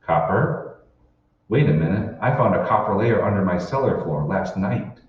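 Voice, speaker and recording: Heard from far off in a very echoey room, a low male voice swings widely in pitch. It is an actor as a bewildered, skeptical ordinary husband in a radio play, full of puzzled questions. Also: lively intonation, moderate pace. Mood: skeptical, startled. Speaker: amateur stage actor. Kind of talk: old-time radio drama acting. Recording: roomy, distant, dull hall recording with hiss.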